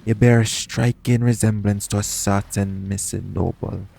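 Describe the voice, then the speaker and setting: A man acting a shrewd, smug pirate captain in an audio roleplay, with a taunting, deliberate, persuasive way of talking. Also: fairly low pitch, steady tone, gravelly, warm tone. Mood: knowing, taunting.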